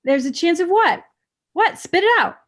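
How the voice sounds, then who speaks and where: A woman playing a blunt, resentful young ex-girlfriend with a deadpan, pressing manner, in a comedy table read. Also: very high-pitched, moderate pace. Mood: angry, demanding.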